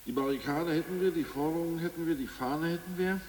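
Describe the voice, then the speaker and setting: A man's voice: on the high side, quick pace, darkish tone, in a large hall, slight background noise, close to an everyday voice, rough recording. A man playing an eager ousted politburo member. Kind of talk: 1980s radio drama acting.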